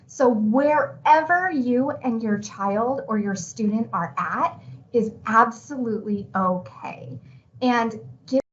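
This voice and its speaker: A woman with a somewhat high voice teaches in a live online session. She talks conversationally and encouragingly. The audio is a little dull, with faint noise behind her. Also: slow. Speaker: teacher presenting a parent webinar. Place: remote online video call.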